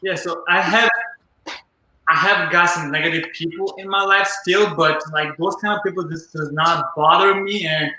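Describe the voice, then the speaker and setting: A man's voice: a little high, steady tone, normal speed, sharp tone, slight background noise. A teenage man hosting a casual live group chat, earnest and upbeat, talking about positivity with lots of "like" and "you know". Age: teens. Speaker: livestream host. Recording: very echoey room.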